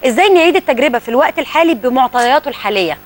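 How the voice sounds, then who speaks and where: A woman with an Egyptian accent co-hosting a talk show, cutting in with quick questions. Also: high-pitched, expressive. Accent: Egyptian Arabic.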